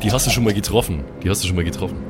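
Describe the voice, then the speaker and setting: A man's voice: fairly low pitch, rapid-fire. A man chatting casually as a player at a tabletop game. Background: faint background music.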